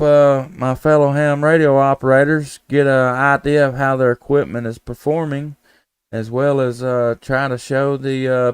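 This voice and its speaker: A man's voice: mid-range voice, clean tone, no room sound, studio quality. A man, chatting casually as a ham radio operator on a live stream in a folksy, easygoing way with call-sign phrasing. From United States, Tennessee.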